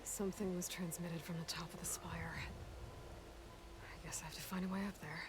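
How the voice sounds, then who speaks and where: Actress as hushed, low-voiced young woman adventurer. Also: steady tone, normal speed, heavy background noise, natural voice. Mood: hushed, troubled. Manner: hushed, muttering to herself. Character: pensive, determined young woman adventurer talking to herself. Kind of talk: video game voice acting.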